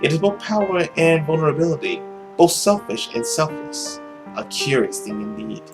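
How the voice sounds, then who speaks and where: A man's voice: a little high, big pitch swings, normal speed, over music. A man playing a world-weary immortal demon who poses as a nobleman, musing theatrically through his journal in a visual novel. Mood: wistful musing. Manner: brooding, musing, theatrical diary reading.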